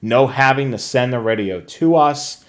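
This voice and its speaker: A man's voice: mid-range voice, a little slow. A man co-hosting a live hobby show, talking casually through products and services for viewers. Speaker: co-host of a live radio scanner show.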